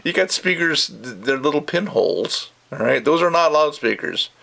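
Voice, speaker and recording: Gravelly older man ranting casually. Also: on the high side, lively intonation, normal speed, sharp tone. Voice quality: gravelly, creaky. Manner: opinionated, rambling, emphatic, acts out mocking quotes. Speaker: audio gear reviewer. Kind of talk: casual ranting video review.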